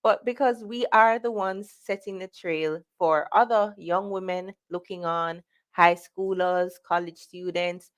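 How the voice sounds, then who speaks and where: A woman's voice: fairly low pitch, fairly even intonation, measured pace. A woman with a Jamaican accent hosting an online conference, greeting viewers and introducing the next speaker. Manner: upbeat, welcoming roll call of viewers. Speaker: conference host. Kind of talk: livestream event hosting.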